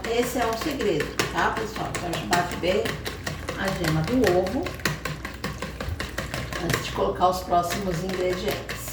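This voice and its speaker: A woman's voice: mid-range voice, very noisy. Chatty Brazilian woman in echoey kitchen. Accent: Brazilian Portuguese. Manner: chatty, explains step by step, frequent "tá bom?". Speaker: cooking channel host. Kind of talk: home cooking tutorial. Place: home kitchen. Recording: echoey kitchen audio from far off.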